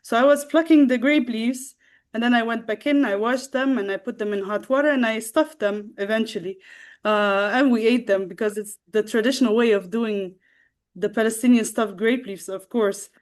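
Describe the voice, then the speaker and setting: A young woman from the Netherlands, a guest telling a personal story in a halting way, with many fillers and restarts. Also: on the high side. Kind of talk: casual storytelling.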